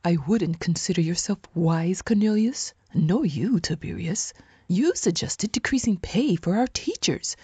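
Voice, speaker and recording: An actress playing a sharp-tongued, scornful parliamentarian in an audio drama, cutting and exasperated. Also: female voice, lowish voice, animated, measured pace, slightly rough, no room sound, heavily acted.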